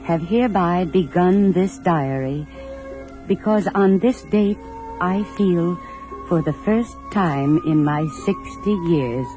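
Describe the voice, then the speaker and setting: An actress playing a sixty-year-old woman solemnly reading out her diary in an old-time radio drama, with deliberate theatrical delivery. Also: fairly low pitch, over music, low-quality recording. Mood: solemn, intent. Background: musical score.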